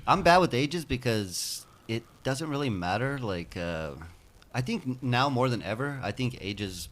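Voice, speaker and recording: A man's voice: medium pitch, lively intonation, unhurried, a bit bright. A man in his fifties chatting casually on a podcast, rambling and swearing freely as he tells stories. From United States. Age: 50s. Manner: loose, rambling, profane storytelling. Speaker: podcast guest. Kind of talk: casual chat.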